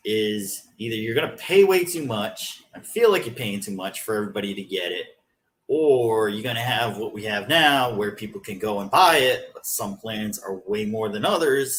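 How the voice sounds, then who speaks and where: Low-voiced man explaining at length. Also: a bit bright, a little hiss or hum, low-quality recording. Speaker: podcast co-host. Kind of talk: casual political discussion.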